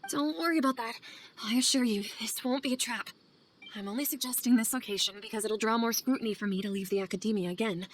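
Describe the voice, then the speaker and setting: A woman acts out a weary female scholar burdened by guilt in a game cutscene. Her voice sits a little high and she speaks earnestly and hesitantly, as if confessing. Ambient noise is clearly heard behind her. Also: fast talker, lightly acted. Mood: cautious, assuring. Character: guilt-ridden female scholar, weary, anxious assistant to sage. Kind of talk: dramatic video game dialogue.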